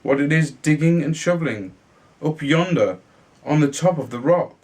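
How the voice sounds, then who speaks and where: A man's voice: a little high, expressive, normal speed, darkish tone, lightly acted. A man reading a storybook, voicing a curious young brother in a fairy tale who muses and wonders. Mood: musing. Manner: musing, wondering.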